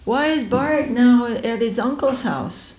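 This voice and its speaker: A woman's voice: on the high side, unhurried, a little mellow, slight background noise, pitched above the performer's own voice, close to an everyday voice. A woman voicing a scheming female servant who coaxes a queen with insinuations. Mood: insinuating. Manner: coaxing, insinuating. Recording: echoey room.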